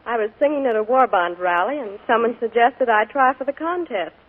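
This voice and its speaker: A woman's voice: fairly high pitch, animated, fairly quick. A young woman, a guest on a radio show, speaking in a cheerful, modest and earnest way. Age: young adult. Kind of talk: scripted radio interview. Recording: tinny 1940s radio broadcast.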